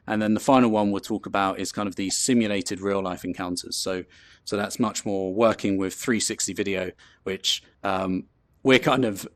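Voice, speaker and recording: A man's voice: fairly low pitch, dry recording. A man from England with a London accent, hosting a casual presentation, chatty and loose with many fillers and restarts. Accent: London English. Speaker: host presenting a talk.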